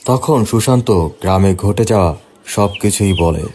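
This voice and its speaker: A man's voice: low-pitched, unhurried, warm tone, a little hiss or hum. A man reading scripted narration for a horror story.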